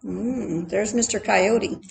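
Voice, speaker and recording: Slow, expressive woman reading a children's story. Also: mid-range voice, vocal fry, a little hiss or hum. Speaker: storyteller, teacher reading aloud.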